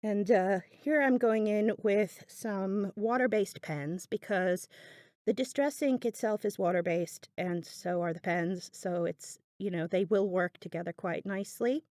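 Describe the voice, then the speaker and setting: A woman's voice: mid-range voice, measured pace, a little mellow, no echo, studio quality. A woman giving a casual craft tutorial voiceover, chatty and thinking aloud as she goes. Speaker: craft channel host.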